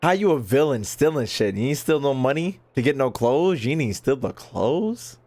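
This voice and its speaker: A man's voice: medium pitch, lively intonation, normal speed, darkish tone. A man doing casual gaming commentary, reading dialogue aloud and joking in a slangy way. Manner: slangy, jokey. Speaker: gaming YouTuber.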